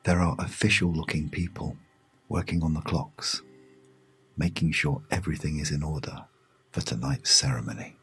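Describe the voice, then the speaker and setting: Very deep-voiced British man, soothing narrator. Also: husky. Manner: hushed, soothing, measured with long pauses. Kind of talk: guided sleep meditation storytelling. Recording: music behind the voice. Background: music.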